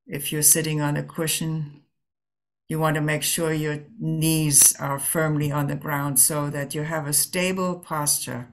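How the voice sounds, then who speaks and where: Woman, meditation teacher, low pitch, very slow delivery, gentle instructions, long pauses. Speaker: Zen teacher leading a guided meditation.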